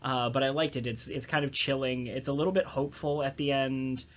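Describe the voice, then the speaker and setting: The voice sits at a middle pitch and belongs to a podcast co-host in a relaxed group discussion. The delivery is enthusiastic and informal, with casual swearing thrown in. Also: brisk. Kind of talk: casual round-table chat.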